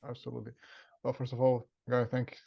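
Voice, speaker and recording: A man in his forties from Brazil with a Brazilian accent, a podcast guest telling his career story in a relaxed way. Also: medium pitch, fairly quick, gravelly, breathy edge, dull audio. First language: Portuguese. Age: 40s. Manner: relaxed storytelling with frequent "kinda". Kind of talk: interview.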